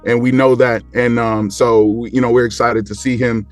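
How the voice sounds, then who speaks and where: A man's voice: mid-range voice, flat delivery, moderate pace, soft background music. A man in casual sports talk as a panel guest, rambling along and repeating phrases for emphasis.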